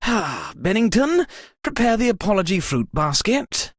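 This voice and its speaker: A man's voice: high-pitched, wildly swooping pitch, measured pace, dry recording, studio quality, exaggerated voice. A man voicing a pompous, flippant wealthy lord hosting a murder-mystery party in a comedic video game, with theatrical, mock-genteel delivery. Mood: archly apologetic.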